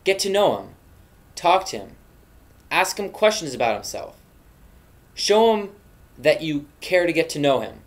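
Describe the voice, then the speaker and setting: A man's voice: a little high, sing-song, unhurried, long pauses. A young man hosting a mock safety video, giving solemn, preachy advice in an earnest scripted narration. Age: young adult. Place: dorm room indoors. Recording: echoey room.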